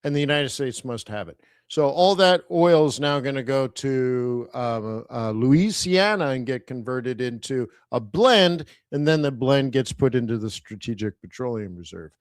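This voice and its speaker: A man's voice: mid-range voice, animated, moderate pace, no room sound, studio quality. A middle-aged Canadian man hosting a live stream, chatting casually and throwing rhetorical questions at his viewers. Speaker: host of a live trading stream.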